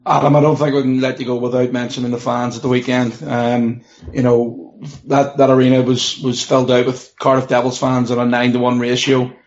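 A man hosting a sports podcast, his voice hoarse and croaky, asking questions with a few fillers. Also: mid-range voice, little pitch movement, fairly quick. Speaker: podcast host. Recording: dull lo-fi sound.

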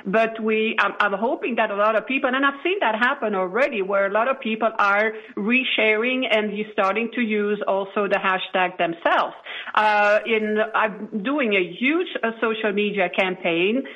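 A woman's voice: mid-range voice, moderate pace, fairly bright tone. A woman explaining things as a guest in a podcast interview. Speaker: interview guest, author show founder. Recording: dull radio-like lo-fi audio.

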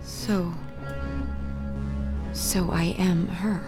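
A young woman with a fairly low voice played by an actress speaks as a troubled, searching artist. The delivery is natural audio-drama dialogue, earnest and probing, with music clearly playing behind it. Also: fairly even intonation, very slow. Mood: troubled, insistent. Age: young adult.

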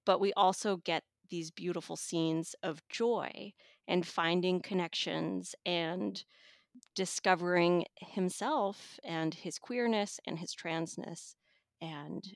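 A woman giving a conversational book review as a guest, thoughtful and pausing to find her words. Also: on the low side, fairly even intonation, dry recording, studio quality. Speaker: magazine editor reviewing an audiobook as guest.

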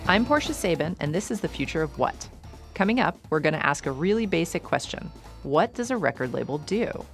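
A woman hosting a radio show, reading introductions and announcements in an upbeat, clear way. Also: low voice, sing-song, fairly quick, faint music behind.

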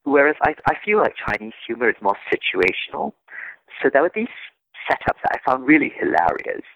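A man talks through his work in a lively, rolling voice that dips low and turns gravelly at the ends of phrases. His explanations are reflective and animated. The sound is dull and lo-fi, with a dry, close feel. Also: fairly quick, a little hiss or hum. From Singapore. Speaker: guest translator. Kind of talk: casual interview conversation.

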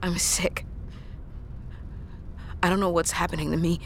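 An actress playing a battered, sick woman truck driver, talking in a weary, halting, blunt way in a naturalistic audio drama. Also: lowish voice, little pitch movement, noisy background. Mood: weary, defiant. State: sick, worn out.

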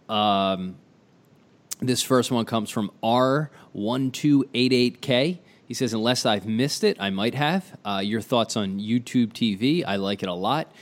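A man hosting a casual sports podcast, tired from little sleep, with a deep morning voice and a chatty, loose way of talking. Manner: chatty, loose, many fillers. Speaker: podcast host.

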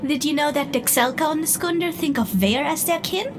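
Woman playing a high-pitched, giggly, teasing immortal spirit. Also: close to an everyday voice. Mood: teasing, amused. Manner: giggly, teasing, drawn-out condescension. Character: playful teasing immortal female spirit, condescending to mortals. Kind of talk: fantasy audio drama monologue to the listener.

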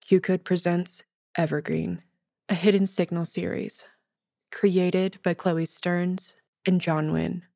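A woman's voice: fairly low pitch, steady tone, slow pace, vocal fry, warm tone, no echo, clean studio sound. A woman reading scripted narration as an announcer.

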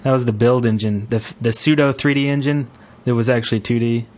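A man's voice: mid-range voice, fairly even intonation, a little fast, a little mellow. Easygoing man co-hosting a gaming podcast. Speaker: podcast co-host. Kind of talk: casual gaming podcast chat.

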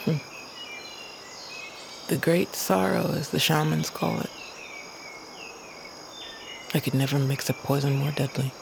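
A woman playing an old, cranky but wise grandmother in a fantasy audio drama, speaking in a measured, solemn, poetic way. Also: deep voice, gravelly, noisy background, heavily acted. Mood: gently stern. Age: older.

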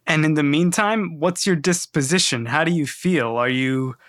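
A man's voice: on the high side, moderate pace, fairly bright tone. A man hosting a podcast, friendly and conversational while interviewing guests. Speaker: podcast host. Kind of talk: interview and narration.

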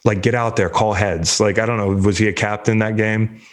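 Low-voiced man in profane sports chatter. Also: steady tone, moderate pace. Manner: profane, rambling, joking. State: congested. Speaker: sports show host. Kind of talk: casual sports talk.

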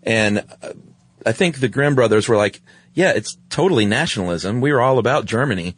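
A man's voice: medium pitch, expressive, brisk, no echo. An American man chatting informally as a podcast co-host, with a laid-back way of talking. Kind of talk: casual chat.

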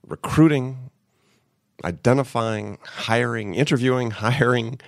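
A man hosting a podcast, upbeat and energetic, his voice affected by a cold. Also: fairly low pitch, vocal fry, dry recording, clean studio sound. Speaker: podcast host. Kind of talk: podcast monologue.

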